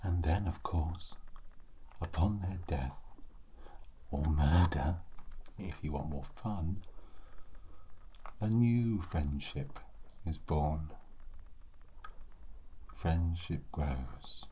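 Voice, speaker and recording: A man performing a sassy undead skeleton for an ASMR fantasy roleplay. The voice is extremely deep and mellow, hushed and often whispered. He speaks with great slowness and wry, morbid pauses, like a scholar who considers himself eternal. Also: slight background noise, full character voice. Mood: proud, musing. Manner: slow, deliberate, wry, theatrical pauses. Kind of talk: ASMR character roleplay monologue.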